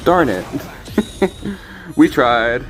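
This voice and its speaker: A man streams a card game and talks it through as he plays, rambling and restarting his thoughts. His voice is mid-pitched and a little raspy. Faint game music plays behind him. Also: very animated, extremely slow, fairly bright tone, poor audio. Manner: chatty, thinking aloud, frequent restarts and ums. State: slightly raspy voice. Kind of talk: casual gameplay commentary.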